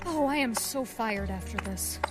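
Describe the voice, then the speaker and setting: A woman's voice: fairly high pitch, lively intonation, normal speed, over loud music, lightly acted. A woman playing a breezy, evasive nurse bluffing under pressure in a radio drama. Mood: flustered.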